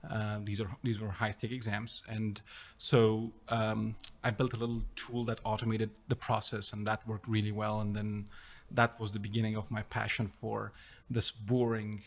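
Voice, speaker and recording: Middle-aged man from Afghanistan, low voice, interview guest, halting delivery with lots of ums. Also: flat delivery. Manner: hesitant, many fillers and restarts. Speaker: startup co-founder and CEO, guest. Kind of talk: conversational interview.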